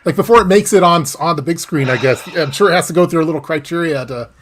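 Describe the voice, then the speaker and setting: A man's voice: on the high side, fast talker, a little hiss or hum. A man hosting a casual interview, rambling questions with frequent stammers and restarts. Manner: rambling, stammering, warm laughs. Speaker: host, interviewer.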